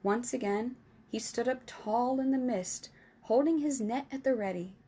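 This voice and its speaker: Slow storytelling woman. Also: on the high side, dark tone, breathy edge. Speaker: storytime reader. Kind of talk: reading a children's book aloud.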